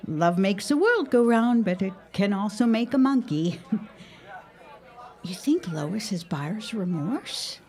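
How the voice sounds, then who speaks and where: An actress playing a doting, gently wry middle-aged 1910s mother in an audio drama, coaxing as she talks. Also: medium pitch, animated, slow. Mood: wry, cheerful. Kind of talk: period audio drama acting.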